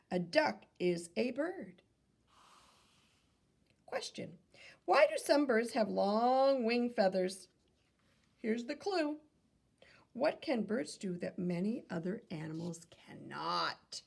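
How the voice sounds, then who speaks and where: Lady reading a kids book out loud like a teacher, super gravelly fry, pitch goes up and down a lot. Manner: instructive, posing questions to young listeners. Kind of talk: children's read-aloud with questions and asides.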